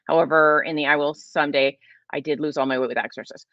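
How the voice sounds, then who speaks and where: A woman's voice: deep voice, steady tone, fast talker, a bit bright, no room sound, highs cut off. A woman in her forties hosting a casual livestream Q&A, chatty and emphatic, with lots of fillers and restarts. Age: 40s. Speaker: host.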